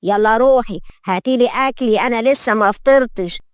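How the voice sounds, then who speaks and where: A woman's voice: on the high side, quick pace, dry recording, clean studio sound, natural voice. A woman with an Egyptian accent voicing a cantankerous, imperious old lady of the house, scolding and drawling in an audio story. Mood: dismissive. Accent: Egyptian Arabic.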